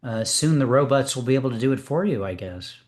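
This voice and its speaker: A man's voice: medium pitch, lively intonation, fast, darkish tone. Encouraging man hosting an open reading. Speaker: host of a poetry open reading. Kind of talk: casual hosting chat.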